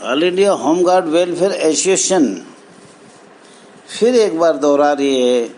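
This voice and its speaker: A man giving an informal grievance speech to members as an association spokesman, in halting, repetitive phrases with emphatic appeals. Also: on the high side, darkish tone. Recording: clear background noise, amateur recording.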